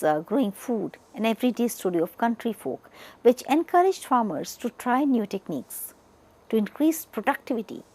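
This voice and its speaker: A woman with an Indian accent delivering an educational lecture in a deliberate way, stressing the starts of phrases and letting the ends trail off. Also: medium pitch, a little mellow. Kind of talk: educational lecture, partly read from notes.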